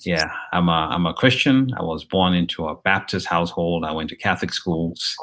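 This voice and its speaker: A man in his fifties from Nigeria, a journalist and professor giving an interview, talking in a measured way with frequent ums and restarts. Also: deep voice, fairly bright tone. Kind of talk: conversational interview.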